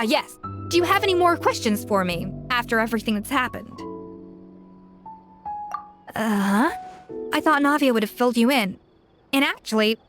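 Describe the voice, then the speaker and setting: An actress playing a poised female chef in a video game, speaking in a calm, measured, articulate way. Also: on the high side, lightly acted.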